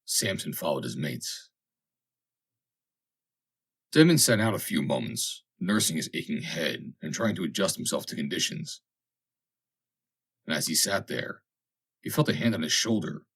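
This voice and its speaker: A man reads a story as an audiobook narrator. His voice is deep and husky, and he delivers the scripted text dramatically, with animated intonation. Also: measured pace, pauses often.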